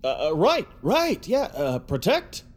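Vain, cowardly movie star. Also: male voice, fairly high pitch, huge pitch swings, unhurried. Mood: nervous. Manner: boastful, blustering, hesitant when pressed. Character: vain cowardly male movie star, boastful and self-absorbed. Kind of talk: audio drama comedy acting.

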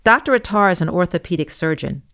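A woman hosting a news podcast, narrating in a measured, explanatory way. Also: fairly low pitch, animated, creaky, fairly bright tone. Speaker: guest podcast host.

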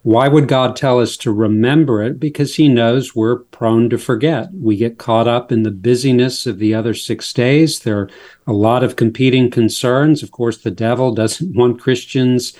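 Measured man explaining faith. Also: medium pitch, fairly even intonation, a little mellow. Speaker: pastor and author, guest. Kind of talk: interview on faith.

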